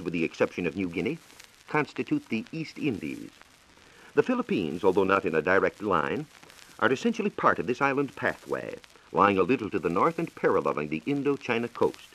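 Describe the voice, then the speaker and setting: Casting: male science reporter, American, lowish and lively-pitched, scripted formal vintage radio delivery. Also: poor audio. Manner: formal, explanatory. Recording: 1940s radio recording.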